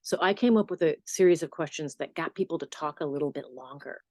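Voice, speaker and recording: A middle-aged woman journalist with a low voice, answering interview questions in a storytelling manner with animated asides. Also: fast, dry recording, clean studio sound. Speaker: journalist and podcast host.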